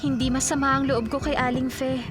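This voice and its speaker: A woman playing a concerned, sympathetic young daughter in a Filipino radio drama, speaking gently. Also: sits high, flat delivery, fairly quick, music in the background, close to an everyday voice. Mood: concerned, caring.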